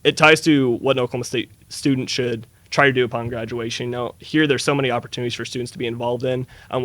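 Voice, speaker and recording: A man's voice: mid-range voice, a bit bright, dry recording. A man in his early twenties from Eastern Washington, a student answering interview questions in an earnest, down-to-earth way. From Eastern Washington, USA. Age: early 20s. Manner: earnest, down-to-earth, uses fillers like "uh" and "you know". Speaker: student guest.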